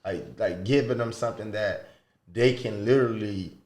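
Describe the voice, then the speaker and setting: A man's voice: on the low side, normal speed, darkish tone. A man hosting a podcast in casual, slangy interview chat, repeating phrases for emphasis. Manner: informal, slangy, emphatic repetition. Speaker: podcast host. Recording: roomy, echoing sound.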